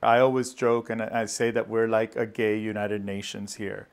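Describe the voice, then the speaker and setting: A man's voice: on the low side, completely flat delivery, normal speed, gravelly. A middle-aged man who works with immigrants, steadily explaining his work in an office interview on a lapel mic. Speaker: immigrant services staff member.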